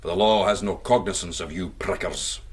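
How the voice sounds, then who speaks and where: An actor playing a bold, shrewd, commanding fugitive soldier with a Scottish accent and a theatrical streak, in period radio drama. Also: male voice, sits low, brisk, natural voice. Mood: stern, commanding.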